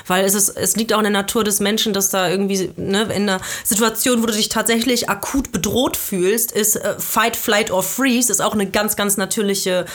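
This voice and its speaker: A woman's voice: medium pitch, fairly even intonation, moderate pace, few pauses. Frank young woman, rambling guest talk. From Belgium. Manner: frank, talks in long run-on thoughts with fillers. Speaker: guest, influencer and cannabis activist. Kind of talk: casual interview chat.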